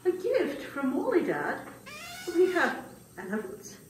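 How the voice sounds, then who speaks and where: A woman voicing a gracious, puzzled queen in a puppet show, musing politely and haltingly. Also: high-pitched, wildly swooping pitch, full character voice. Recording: roomy, distant mic with background noise.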